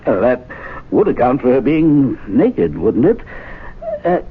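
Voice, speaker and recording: Fretful club member, hesitant, husky, tinny radio sound. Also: male voice, mid-range voice, big pitch swings, slow, exaggerated voice. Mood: anxious. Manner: hesitant, fretful, full of uhs. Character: timid anxious gentleman club member. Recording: tinny 1970s radio broadcast.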